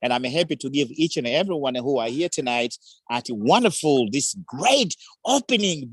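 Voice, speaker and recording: A man's voice: high-pitched, no echo. Tanzanian-accented man, effusive and dry-sounding. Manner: effusive, warm praise, broken English phrasing. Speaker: guest speaker. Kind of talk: enthusiastic community forum remarks.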